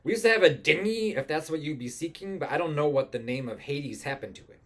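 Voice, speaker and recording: A man voicing a gruff, eccentric ship captain with blustery sailor talk, read from a musical script. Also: fairly high pitch, animated, fast, fairly bright tone, pitched above the performer's own voice. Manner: blustery old sailor slang.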